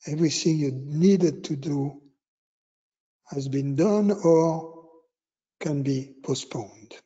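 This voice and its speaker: A man teaching meditation, giving guided instructions in short, unhurried phrases with long pauses between them. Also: on the high side, dark tone, breathy edge, highs cut off.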